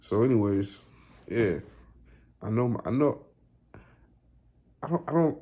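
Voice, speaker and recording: A man's voice: medium pitch, lively intonation, unhurried, mellow. A man in his thirties making a casual motivational vlog, talking in a loose, repetitive, slangy way with lots of "you know". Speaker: weight loss vlogger.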